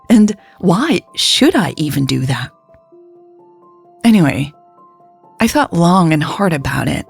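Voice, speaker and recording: A woman narrates a romantic story in the first person. Her voice is husky and fairly low, with vocal fry at the edges. She is measured and reflective, as if confiding in the listener. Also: a little mellow.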